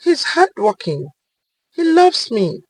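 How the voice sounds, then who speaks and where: Woman voicing a proud, insistent young woman, lively pitch. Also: slow, no room sound, exaggerated voice, rough recording. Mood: smitten. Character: proud, defensive young woman dazzled by wealth. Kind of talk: dramatic storybook dialogue.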